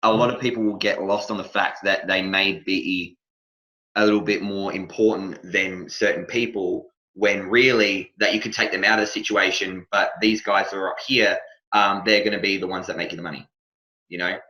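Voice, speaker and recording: An Australian man hosting a casual podcast chat, talking loosely and haltingly, circling back over his phrases. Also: deep voice, steady tone, sharp tone, poor audio. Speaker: podcast host, wrestling manager.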